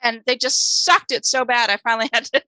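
A woman whose first language is German, co-hosting a podcast in casual, chatty conversation, self-deprecating with quick asides. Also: fairly high pitch, steady tone, pushed and bright, no echo, rough recording. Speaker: podcast co-host, author. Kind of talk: casual chat.

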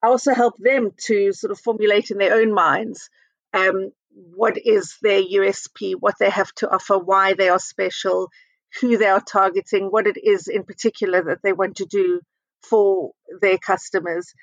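A middle-aged woman from South Africa, a guest in a conversational interview, talking in a measured, thoughtful way with frequent ums and uhs. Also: medium pitch, steady tone, no room sound, muffled recording. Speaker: interview guest, communications consultant.

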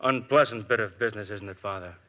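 An actor playing a shrewd police inspector who questions people with smooth persistence, in a 1950s radio drama. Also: male voice, lowish voice, fast talker, bright, forceful tone. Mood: probing. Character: shrewd, probing middle-aged police inspector.

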